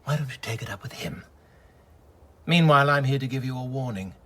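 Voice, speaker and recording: A man's voice: medium pitch, brisk, fairly bright tone, lightly acted. A man playing a pompous, threatening middle-aged chief doctor in a radio drama, formal and menacing.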